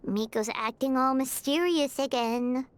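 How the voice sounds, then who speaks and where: A woman voicing a small, childlike fairy companion in a video game, chirpy, curious and earnest, with expressive exclamations. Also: high voice, measured pace, slight background noise. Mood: worried, curious. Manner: refers to self in third person, exclaiming, expressive. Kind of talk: English video game voice acting.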